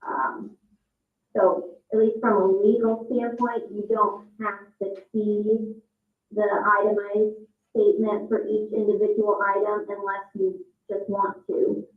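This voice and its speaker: A woman's voice: mid-range voice, level tone, slow. A woman advising a board, explaining procedure in a public meeting. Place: indoor town board meeting room. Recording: phone-like thin sound, echoey room.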